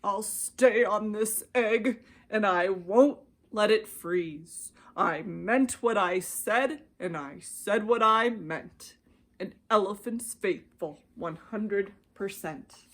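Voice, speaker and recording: A woman voicing a huge, kindly male storybook elephant with slow, emphatic, earnest speech. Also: mid-range voice. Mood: steadfast. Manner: slow, emphatic, drawn-out delivery. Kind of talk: children's storybook character voice.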